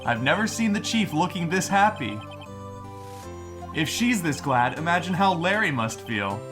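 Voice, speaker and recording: A man's voice: fairly high pitch, sing-song, measured pace, over music. A man voicing an earnest, awkward young male lawyer in a fan-dubbed game, hesitant and a little wry. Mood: relieved. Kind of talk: fan-dub video game dialogue and inner narration.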